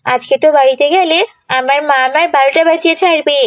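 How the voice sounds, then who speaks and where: A woman's voice: high voice, fairly even intonation, fast, close to an everyday voice. A woman voicing a cheeky, boastful young schoolgirl who tells lies in a children's moral cartoon. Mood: panicked. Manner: boastful, exaggerated, sly.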